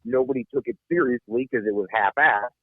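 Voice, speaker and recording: A man's voice: medium pitch, fairly quick, dry recording. A man co-hosting a podcast in casual chat, blunt and swearing freely as he tells a long story. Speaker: podcast co-host. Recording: tinny phone-like sound, dry.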